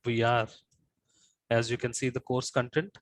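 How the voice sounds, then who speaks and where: A man's voice: medium pitch, level tone, normal speed. Reassuring male trainer on a video call. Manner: reassuring, repeats short phrases. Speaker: online course trainer. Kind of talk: live online class Q&A.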